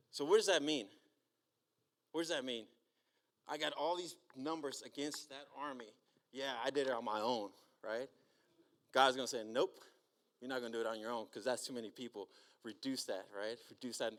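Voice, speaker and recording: A man's voice: mid-range voice, fairly even intonation, moderate pace, pauses often, a bit bright. Casual man giving a Bible talk. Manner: casual, checks in with "right?" and "okay?". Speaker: church speaker.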